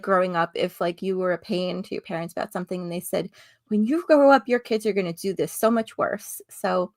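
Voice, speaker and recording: Gravelly-voiced woman, dry close sound. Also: mid-range voice, no room sound. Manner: chatty, self-deprecating, laughs often. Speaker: live stream host. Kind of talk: casual live chat.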